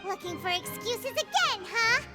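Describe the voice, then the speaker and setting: A tiny, blunt fairy sidekick pipes up in an extremely high, squeaky voice that bounces around excitably. Loud music plays under this cartoonish game voice. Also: female voice, unhurried. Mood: indignant disbelief. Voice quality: squeaky, childlike. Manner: speaks of herself in third person, exclaiming. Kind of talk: scripted video game acting.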